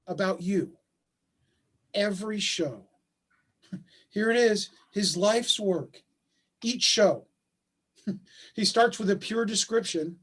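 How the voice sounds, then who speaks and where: A man playing a bitter, anguished father and husband in a staged play reading, speaking with emphatic insistence over a video call. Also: high voice. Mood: agitated.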